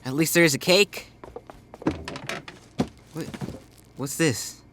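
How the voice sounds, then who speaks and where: An actress playing a blunt, sarcastic teenager who judges quickly, in a family audio drama. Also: female voice, sits low, noisy background. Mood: suspicious, dry.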